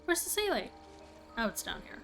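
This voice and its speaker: A woman with a slightly high voice comments casually on a game she is streaming. She talks in brief, offhand asides and reads bits aloud, while music plays clearly behind her. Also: very animated, normal speed, a bit bright. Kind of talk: casual live gameplay commentary.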